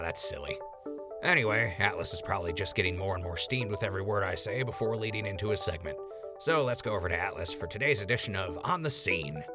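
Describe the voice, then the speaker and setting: A man's voice: low voice, natural voice. An actor playing a laid-back male radio DJ in a post-apocalyptic wasteland, chatty and rambling. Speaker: radio host character. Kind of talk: audio drama acting.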